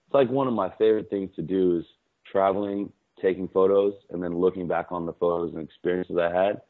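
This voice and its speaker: A man's voice: low voice, steady tone, a little fast. A man calling in as a podcast guest, rambling in laid-back, slangy casual chat. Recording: tinny phone-like call line.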